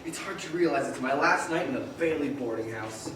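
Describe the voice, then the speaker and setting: A man's voice: medium pitch, brisk, fairly bright tone, close to an everyday voice. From far off in a very echoey, noisy hall comes a man acting an eager young dreamer in a radio play. He is earnest and boyish, restless to do big things. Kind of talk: old-time radio drama acting on stage. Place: performance hall, far from the microphone. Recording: very echoey, distant, noisy stage recording.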